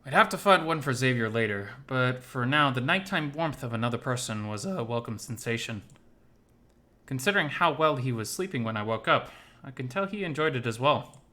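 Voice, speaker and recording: A man reading a visual novel aloud as expressive first-person story narration. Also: medium pitch, a little fast. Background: faint music.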